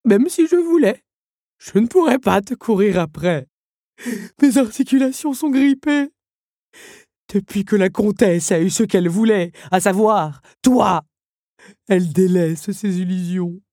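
A woman's voice: mid-range voice, expressive, mellow, no echo, studio quality, pitched above the performer's own voice. A woman voicing a fragile, tearful male automaton who stammers and sobs as he speaks, in an audiobook. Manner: sobbing, halting, stammering. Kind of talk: audiobook character voice.

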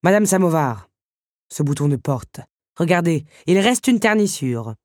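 A woman's voice: fairly low pitch, big pitch swings, a little slow, darkish tone, dry recording, clean studio sound. A woman voicing an awkward, kindly male beast who is master of a castle, in an audiobook story. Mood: brisk.

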